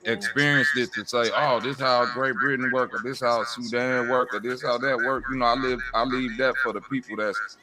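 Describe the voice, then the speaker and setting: A man co-hosting a loose livestream chat, with a middle pitch. He rambles, swears freely, and keeps checking whether you follow him. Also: steady tone, moderate pace, heavy background noise. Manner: rambling, profane, repeats "you know what I'm saying". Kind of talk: casual panel chat on a livestream.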